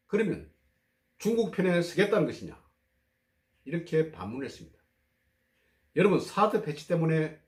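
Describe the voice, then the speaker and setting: A man who is hoarse from a cold, hosting a political commentary show and talking in a measured, emphatic way, full of rhetorical questions. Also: fairly high pitch, darkish tone, roomy sound.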